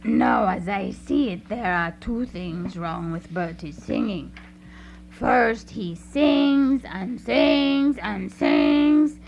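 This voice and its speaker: Slow, creaky, self-important animal chair played by a woman. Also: on the high side, darkish tone, muffled recording. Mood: solemn. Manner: pompous, deliberate, orderly. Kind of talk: radio play acting. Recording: faintly noisy live radio recording.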